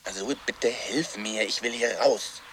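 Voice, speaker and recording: A man's voice: lowish voice, measured pace, a bit bright, slight background noise. An actor playing a desperate man trapped inside a computer game, pleading urgently in a radio drama.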